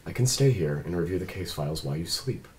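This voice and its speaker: A man playing a composed android police detective at a script read, formal, measured and precise. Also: deep voice, expressive. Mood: quietly concerned. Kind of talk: table read of a film script. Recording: some background room noise.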